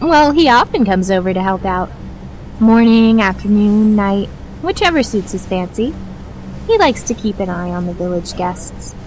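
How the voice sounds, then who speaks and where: Sugary, catty young woman, played by an actress, lively pitch. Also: moderate pace, slight background noise, lightly acted, low-quality recording. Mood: smug. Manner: gushing, syrupy, feigned friendliness. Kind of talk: audio drama acting.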